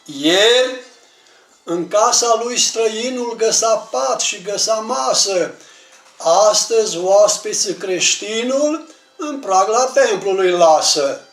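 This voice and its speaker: A man recites religious poetry in a declamatory, measured manner, leaning on the ends of his phrases. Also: high-pitched, expressive, fairly bright tone. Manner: declamatory, measured phrasing with emphatic line endings. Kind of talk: dramatic recitation of religious verse. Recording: roomy distant pickup with music.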